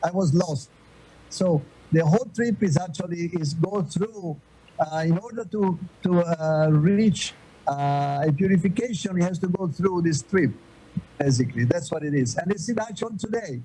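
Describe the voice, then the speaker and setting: An older man from Florence with a Florentine Italian accent, answering interview questions with many pauses and restarts. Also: high-pitched, lively intonation, dark tone, breathy edge, low-quality recording. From Florence, Italy. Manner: hesitant, many fillers and restarts. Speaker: guest.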